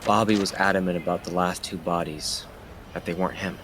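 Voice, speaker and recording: Man acting as police detective, low voice, earnest, methodical, audio drama, faint background noise. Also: fairly even intonation, a little fast, close to an everyday voice. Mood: intent, reasoning.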